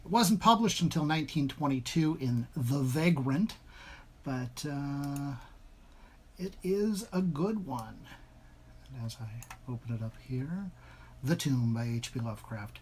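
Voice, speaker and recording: A man's voice: mid-range voice, lively intonation, measured pace, darkish tone, slight background noise. Casual man chatting to his listeners. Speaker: host reading a story aloud to an online audience. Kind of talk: casual chat.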